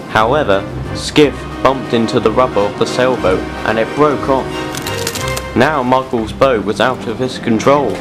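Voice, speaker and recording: A man reading scripted story narration as the narrator of an audio drama. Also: mid-range voice.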